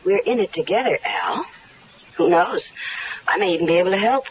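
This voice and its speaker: A woman's voice: medium pitch, expressive, close to an everyday voice. A woman playing a coy scheming wife. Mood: tense. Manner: coy, flirtatious, quick to feign hysterics. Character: scheming wife faking grief, adult woman. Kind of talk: 1970s radio drama acting. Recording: muffled old radio broadcast with hiss.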